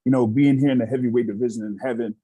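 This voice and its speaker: A man's voice: medium pitch, steady tone, very fast, mellow, slightly rough, no room sound, highs cut off. A man working as a journalist, asking conversational questions on a remote press call. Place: remote media conference call.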